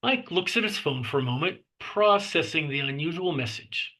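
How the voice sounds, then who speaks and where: A man narrates the action lines of a screenplay. His voice is slightly high and bright, with a clearly husky grain, as he reads scripted scene directions. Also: lively intonation, normal speed. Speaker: narrator reading stage directions.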